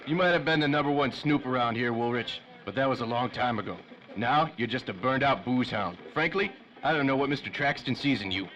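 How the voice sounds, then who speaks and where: A man's voice: medium pitch, brisk, a bit bright, lo-fi sound, lightly acted. An actor playing a loyal, disdainful henchman with stiff, taunting tough talk in a 1930s radio noir. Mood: stern, taunting. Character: loyal, disdainful male henchman of a crime boss.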